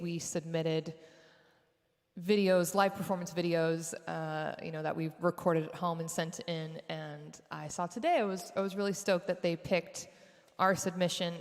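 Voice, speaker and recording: Singer-host, woman, low-ish voice, rambling casual chat, echoey home room. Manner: chatty, rambling with fillers. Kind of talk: casual chat between songs. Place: home studio set. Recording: echoey room with long reverb.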